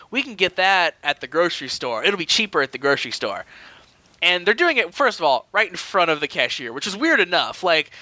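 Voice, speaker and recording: A man with a high-pitched, lively voice that swings around as he chats and tells funny stories. He sounds animated and ready to chuckle, like a casual podcast co-host, and the recording is close and dry. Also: no echo. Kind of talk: casual comedic storytelling chat.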